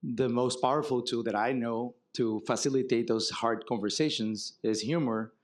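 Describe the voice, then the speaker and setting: A man from Brazil with a Brazilian accent, a marketing executive speaking as guest in an onstage fireside chat, relaxed and wry, explaining with examples. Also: medium pitch, moderate pace. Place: conference stage before an audience.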